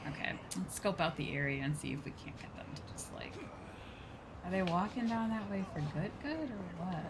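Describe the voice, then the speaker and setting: A woman giving casual, expressive commentary as she streams a video game. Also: lowish voice, moderate pace, noisy background. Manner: expressive, chatty reactions, reads game dialogue aloud. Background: video game music.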